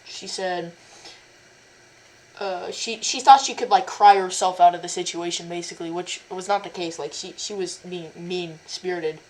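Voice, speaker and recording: A woman's voice: on the low side, fairly even intonation, moderate pace, a little mellow, slight background noise, rough recording. A woman interviewing a stranger on a casual video chat, rambling and stumbling with many likes and restarts. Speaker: interviewer, cosplayer.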